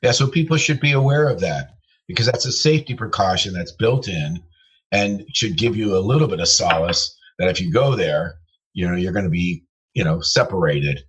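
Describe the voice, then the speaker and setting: Low, husky male radio co-host. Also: lively intonation, moderate pace. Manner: affirming, upbeat sign-offs. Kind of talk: casual radio talk show. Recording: dull lo-fi sound.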